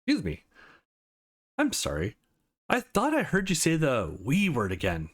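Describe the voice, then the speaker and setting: A man's voice: a little high, wildly swooping pitch, measured pace, husky, full character voice. A man voicing an anxious, guarded young woman in a visual novel, emotive and easily exasperated. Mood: annoyed.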